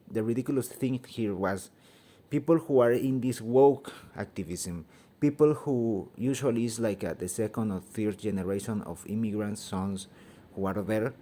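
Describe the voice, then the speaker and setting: Man, Mexican Spanish accent, low, warm tone, hesitant, restarts, heated opinion talk, phone mic. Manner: hesitant, many fillers and restarts, grows heated. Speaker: vlogger giving an opinion. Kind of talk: casual opinion monologue. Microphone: phone held by hand.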